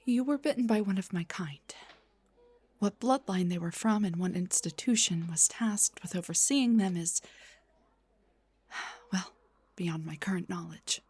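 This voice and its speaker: Woman acting a breathy, gentle vampire doctor. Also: on the low side, measured pace, long pauses. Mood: apologetic, reassuring. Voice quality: breathy, whispery. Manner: polite, formal, slightly hesitant. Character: gentle, courteous vampire doctor, refined and caring. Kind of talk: soft-spoken ASMR roleplay monologue.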